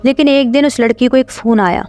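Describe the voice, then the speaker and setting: A woman's voice: a little high, fairly quick, a little mellow. A woman reading a scripted horror story as its narrator. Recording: clear music bed under voice.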